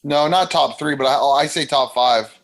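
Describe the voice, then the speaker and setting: Gravelly man chatting on a video call. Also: fairly high pitch, moderate pace, a bit bright. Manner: casual, repeats himself when starting sentences. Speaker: co-host. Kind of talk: casual podcast chat.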